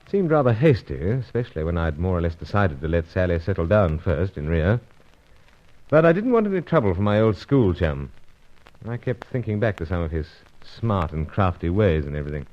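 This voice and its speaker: An actor playing a gallant but guarded British secret agent in a radio adventure serial, with a clipped, hesitant, gentlemanly manner. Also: male voice, low voice, very animated, brisk. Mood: brooding. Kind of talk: old-time radio adventure serial acting. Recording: dull old radio recording.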